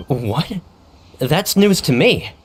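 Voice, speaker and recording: A man playing an eager, earnest young investigator in a video game, excitable and emphatic. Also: a little high, slow, a little hiss or hum. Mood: indignant, insistent. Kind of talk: scripted video game dialogue.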